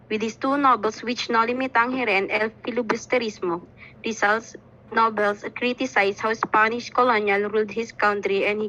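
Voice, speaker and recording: A woman's voice: mid-range voice, pushed and bright. A young Filipino woman with a Filipino accent, reading a prepared answer on a student panel. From Philippines. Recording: dull lo-fi recording.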